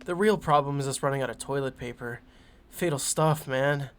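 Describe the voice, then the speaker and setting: Man acting young space crewman, mid-pitched, breezy, slangy, eager, boastful, game dub. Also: moderate pace, a little hiss or hum, lightly acted. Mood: upbeat. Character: laid-back, boastful, upbeat young male spaceship crewman.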